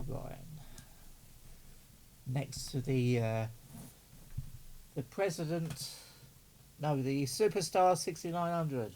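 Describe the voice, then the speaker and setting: A man's voice: on the high side, dark tone, a little hiss or hum. A man giving casual, doting unboxing commentary as a hobbyist, chatting and muttering to himself as he works. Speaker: radio hobbyist vlogger.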